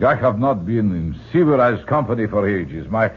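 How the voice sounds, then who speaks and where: An actor playing a courteous, weary older man in a radio drama, husky, speaking formally and haltingly. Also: lowish voice, expressive. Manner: halting, formal, self-deprecating. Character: courteous, weary old male family friend and traveler. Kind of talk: old-time radio drama acting. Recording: tinny, band-limited old radio recording.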